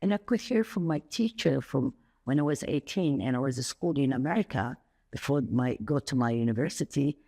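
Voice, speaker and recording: A woman who grew up in Saudi Arabia, a podcast guest telling stories in an animated, rambling way with sudden jumps up in pitch. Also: a little fast. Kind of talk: conversational interview.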